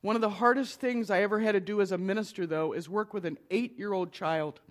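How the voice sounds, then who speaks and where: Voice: medium pitch, brisk. A middle-aged minister giving a conversational lecture to an audience, with wry asides.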